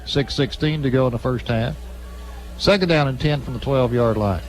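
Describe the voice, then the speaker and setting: A man's voice: mid-range voice, moderate pace, a little hiss or hum, poor audio. A man announcing live football play-by-play on the radio in clipped, running phrases. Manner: clipped, present-tense running description. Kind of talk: live radio sports commentary.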